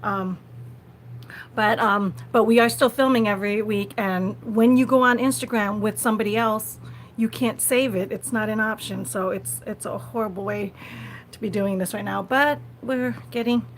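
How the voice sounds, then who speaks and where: A woman's voice: medium pitch, steady tone, moderate pace. A woman hosting a casual live vlog, talking conversationally to viewers and often repeating her phrases, recorded on a phone. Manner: conversational, repeats phrases, frequent small laughs. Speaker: live-stream host. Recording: noisy phone recording of a livestream.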